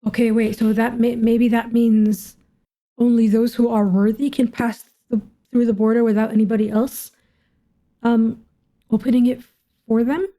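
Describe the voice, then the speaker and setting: A woman streamer with a fairly high yet soft, mellow voice reacts to a game story. She thinks out loud casually, questioning what she sees and musing over it in informal, sometimes sweary language. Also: flat delivery, moderate pace, poor audio. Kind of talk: casual commentary, thinking aloud.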